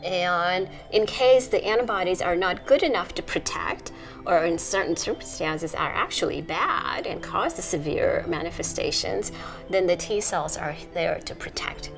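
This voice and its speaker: A middle-aged woman from Nepal, a researcher, explaining her work in a measured documentary interview. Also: fairly low pitch, creaky, music in the background. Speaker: immunology researcher.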